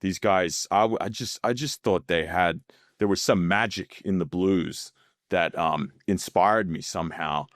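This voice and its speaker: An Australian man in his thirties, a podcast guest telling his story in a relaxed, chatty way with many fillers and easy laughs. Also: deep voice, measured pace, no room sound, clean studio sound. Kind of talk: casual interview chat.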